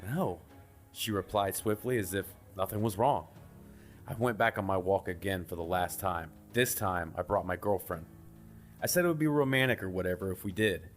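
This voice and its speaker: A man with a low voice narrates a scripted horror tale in the first person. He reads it as a storyteller would, over faint background music. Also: moderate pace. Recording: music behind the voice.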